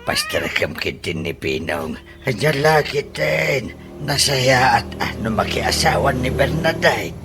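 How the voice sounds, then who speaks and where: A man's voice: medium pitch, lively intonation, a little slow, over music. A man playing a brash, comic tricycle driver and eager suitor in an Ilocano radio comedy drama.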